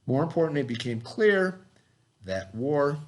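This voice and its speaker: A man's voice: a little high, sing-song, moderate pace. A man reading a lesson aloud as a teacher, explaining as he goes and checking understanding with simple questions.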